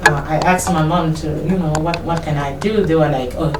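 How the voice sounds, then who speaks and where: A woman's voice: deep voice, fairly even intonation, a little fast. A Kenyan woman with a Kenyan English accent, probably in her thirties, telling her life story in a casual interview, rambling and correcting herself as she goes. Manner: rambling, self-correcting, laughs while telling. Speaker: interview guest. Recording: echoey, distant mic with background noise.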